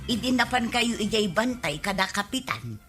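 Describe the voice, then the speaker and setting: An actress playing a gossipy, nagging village wife in a radio comedy drama. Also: female voice, medium pitch, very animated, moderate pace, bright, forceful tone, faint music behind. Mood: gossiping, scolding.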